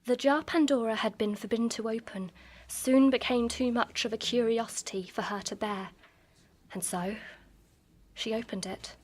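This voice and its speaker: A woman playing a mysterious, teasing young beauty who tells an ancient myth in a measured, suspenseful way for a radio drama. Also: fairly high pitch, darkish tone, close to an everyday voice. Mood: solemn storytelling. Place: live on a festival stage.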